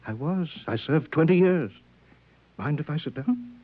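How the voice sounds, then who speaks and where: Husky, high-voiced scheming radio villain. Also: male voice, animated, a little slow, phone-quality sound. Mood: sly, ingratiating. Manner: insinuating, insistent, repeats words. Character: scheming, manipulative ex-convict demagogue back from twenty years' exile. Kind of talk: 1950s radio drama acting. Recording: thin, band-limited old radio recording.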